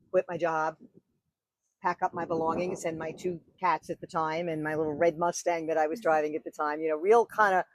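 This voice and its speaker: Chatty New York woman, low and dry. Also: dry recording, rough recording. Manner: chatty storyteller, frequent fillers and restarts. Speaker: wedding officiant guest. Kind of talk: podcast interview.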